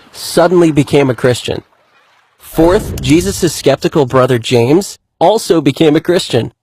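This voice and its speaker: A man's voice: a little high, a little mellow, a little hiss or hum, poor audio. An actor playing an earnest male believer who explains his case methodically and articulately in a scripted skit.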